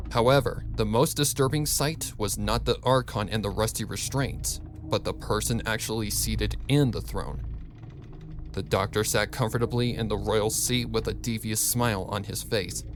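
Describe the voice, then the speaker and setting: A man giving a dramatic scripted narration of a story, as a fan fiction reader. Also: lowish voice.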